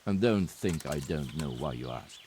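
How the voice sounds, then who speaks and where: An actor playing a stern knight who calmly reports on forged coins in a video game cutscene, speaking bluntly and without fuss. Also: male voice, extremely low pitch, normal speed, slight background noise. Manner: blunt, matter-of-fact reporting. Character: grim male knight investigating counterfeiters.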